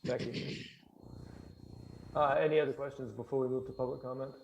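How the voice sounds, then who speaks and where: A man moderating a formal online public meeting as its chair, speaking in a hesitant, halting way. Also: medium pitch, fairly even intonation, a little hiss or hum.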